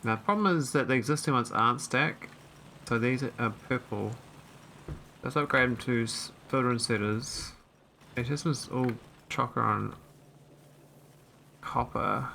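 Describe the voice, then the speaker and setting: A man's voice: mid-range voice, unhurried, gravelly, long pauses, bright, forceful tone, slight background noise, low-quality recording. A man casually commentating his own gameplay, thinking aloud and rambling with fillers into a desk microphone. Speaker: gameplay streamer. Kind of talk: casual let's-play commentary.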